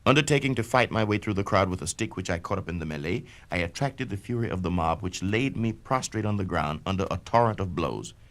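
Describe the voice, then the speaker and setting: Expressive low-voiced man reading a memoir. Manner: measured, declamatory and expressive. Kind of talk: dramatic first-person reading of an autobiography. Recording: vintage spoken-word record.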